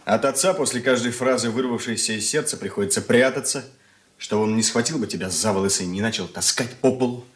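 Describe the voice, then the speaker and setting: An actor playing a brooding, wounded and defiant schoolboy son in a Soviet radio drama, earnest and bitter in manner. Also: male voice, fairly low pitch, fast talker, close to an everyday voice. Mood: bitter, determined.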